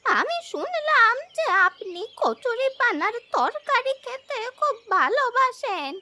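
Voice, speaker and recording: A woman voicing a humble, kindly old village granny in a cartoon, speaking deferentially and gently. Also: very high voice, big pitch swings, slow, slight background noise, full character voice. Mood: humble, grateful. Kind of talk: cartoon character dialogue.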